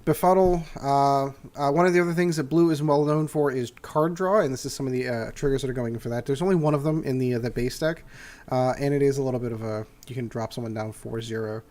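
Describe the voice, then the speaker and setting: A man's voice: medium pitch, fast, a little mellow. An older man hosting a casual card game review, nasal and congested from a cold, rambling through his points with frequent "uh"s and restarts. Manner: rambling with many "uh" fillers and restarts. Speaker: host.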